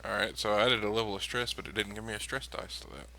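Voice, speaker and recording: A man's voice: lowish voice, fast, vocal fry, rough recording. A man playing a tabletop game, chatting casually and joking with the others, recorded with some noise and clicking. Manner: jokey, animated banter.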